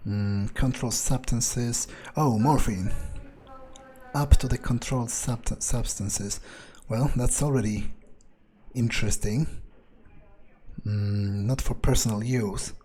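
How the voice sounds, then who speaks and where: A man giving in-character gameplay commentary, thinking aloud and muttering to himself in a halting, musing way. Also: medium pitch, mellow. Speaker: let's player role-playing his game character.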